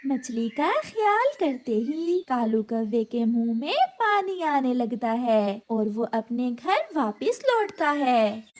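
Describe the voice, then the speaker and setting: A woman's voice: fairly high pitch, big pitch swings, takes it slowly, hardly any pauses, a little mellow. A woman narrating a cartoon story in a scripted storytelling style.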